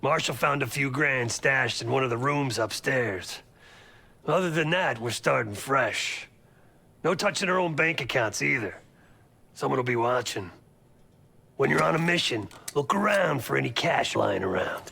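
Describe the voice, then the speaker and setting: A gruff American veteran operative in a video game. His voice is husky and full of gravel, and he briefs his team bluntly, with salty, wry humor. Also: mid-range voice, expressive, measured pace, bright, forceful tone, full character voice. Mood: instructive. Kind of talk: video game dialogue acting.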